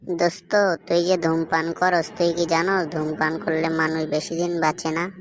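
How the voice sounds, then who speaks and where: A woman voicing an inquisitive, cheeky friend in a comic animated skit. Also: sits low, moderate pace, a crowd in the background, lightly acted. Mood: questioning. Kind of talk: animated comedy skit dialogue.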